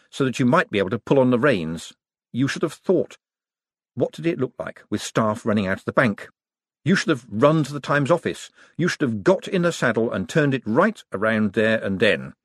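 A man's voice: mid-range voice, expressive, brisk, dry recording, studio quality, voice pushed up, close to an everyday voice. A man voicing a glib, persuasive male con man turned banker. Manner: smooth and persuasive.

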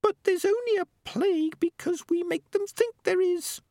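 A man voicing a small, earnest female talking rat with a little squeaky voice, in a storybook reading. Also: normal speed, a little mellow, no echo, clean studio sound. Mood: earnest, objecting.

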